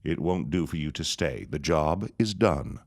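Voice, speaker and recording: A deep gloating villain reverend. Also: male voice, expressive, a little slow, creaky, full character voice. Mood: menacing. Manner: sinister, gloating, cackling. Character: scheming greedy male reverend, villain. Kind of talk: audio drama acting.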